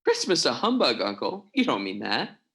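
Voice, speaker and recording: A man acting a cheerful, warm-hearted young nephew with a friendly, pleading manner in an amateur radio play. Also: mid-range voice, huge pitch swings, moderate pace, full character voice. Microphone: video call microphone.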